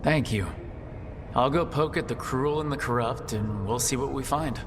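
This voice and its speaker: An actor playing a young, self-doubting hero in a video game, earnest and plain-spoken. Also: male voice, medium pitch, normal speed, big-room sound, background noise, lightly acted. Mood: determined, questioning.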